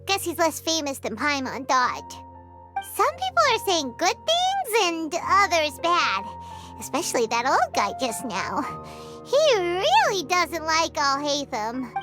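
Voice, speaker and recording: A woman voicing a small, childlike floating fairy companion in a video game, squeaky, chatty and earnest. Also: wildly swooping pitch, slow, music in the background, heavily acted. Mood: musing. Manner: chatty, refers to self by name.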